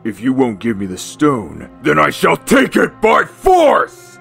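Guy doing a gravelly villain king, slow and dramatic, guitar behind. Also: a little high, huge pitch swings, a bit bright, full character voice. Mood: threatening. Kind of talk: fan radio play acting.

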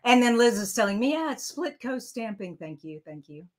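A woman's voice: fairly high pitch, very animated, normal speed. An older woman hosting a live card-making tutorial, chatting as she works and reading viewer comments aloud. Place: craft room at a desk.

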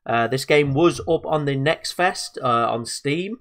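A man sounding a little bunged up from a cold chats through a game as he plays. He talks casually, trails off, and fills gaps with ums and uhs. His pitch sits at an ordinary middle height for a man. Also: measured pace, fairly bright tone. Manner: chatty, hesitant, lots of fillers and self-talk. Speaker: gaming video host. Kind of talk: casual let's-play commentary.

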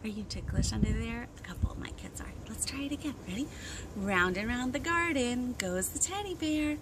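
A woman in her thirties leading an interactive storytime for young children, expressive and sing-song, asking lots of questions. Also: a little high, fairly quick. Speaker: children's librarian hosting storytime. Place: outdoors on a wooden deck by trees. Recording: windy outdoor recording with clear noise. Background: wind and outdoor ambience.

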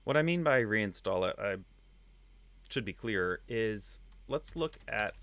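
A man's voice: on the low side, vocal fry. A man in his thirties with a slight Texan accent, casually explaining code to viewers on a screen-share, with loose fillers and asides. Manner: relaxed, conversational explaining with fillers. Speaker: web testing instructor. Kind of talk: screen-share coding tutorial.

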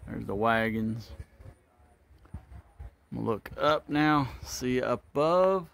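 A man's voice: medium pitch, expressive, slow pace, gravelly, fairly bright tone. A man giving a casual walking tour of an old wooden barn, thinking aloud and unsure of what he sees, recorded on a handheld phone. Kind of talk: casual walk-and-talk narration. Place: open-sided wooden barn outdoors. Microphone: handheld phone camera.

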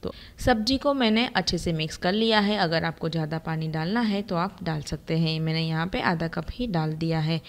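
A woman with a slightly low voice presents a recipe as a voice-over. She explains each step in an instructional manner, speaking straight to the viewer as a cooking channel host. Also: normal speed. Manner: instructional, addresses viewers directly.

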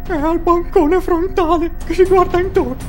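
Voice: very high voice, steady tone, moderate pace, faint music behind. An actor voicing a timid, anxious animatronic fox in a parody cartoon, repeating words in nervous bursts. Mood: panicked.

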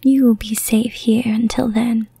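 A breathy, seductive female vampire, acted by a woman for an ASMR roleplay. She speaks slowly and softly, in a dark, whispery tone. She is teasing and menacing, with a merciful streak, and sounds very close and dry. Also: fairly high pitch, flat delivery, no echo, voice pushed down, exaggerated voice. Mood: calm, merciful. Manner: slow, teasing, deliberate.

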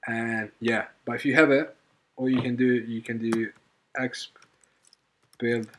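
A man presenting an informal software tutorial in a halting, hesitant way, often repeating phrases as he goes. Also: mid-range voice, flat delivery, a little hiss or hum. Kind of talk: informal screen-recorded coding tutorial.

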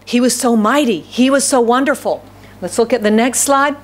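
A middle-aged American woman pastor preaching a sermon from a podium in a church hall, with a loud voice, repeating key phrases and asking the congregation questions. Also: a little high, normal speed. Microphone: podium microphone.

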